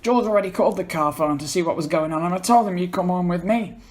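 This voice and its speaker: A man's voice: high-pitched, fast talker, voice pushed up, natural voice. A man voicing an irritable, blunt male friend with an exasperated manner in a dramatic story reading. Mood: apologetic.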